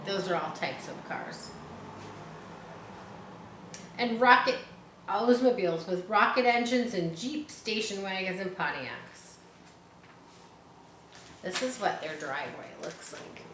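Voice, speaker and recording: A woman's voice: mid-range voice, animated, takes it slowly, pauses often. A woman reads a children's story aloud in a mid-range voice. Her delivery is informal, like reading to listeners at home. The room echoes, with faint noise behind her. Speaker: storybook reader. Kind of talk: children's book read aloud with informal asides. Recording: roomy echo, faint background noise.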